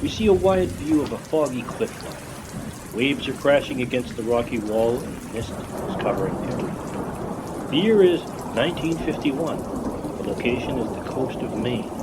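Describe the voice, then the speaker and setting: A man reading scripted narration and scene directions for a radio play. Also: mid-range voice. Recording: loud rain and background noise.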